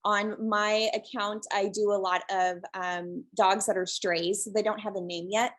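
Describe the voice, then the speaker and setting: A woman from the presenting in a webinar over a video call, soft and relaxed, with many pauses and ums. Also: medium pitch. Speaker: guest presenter, shelter social media creator.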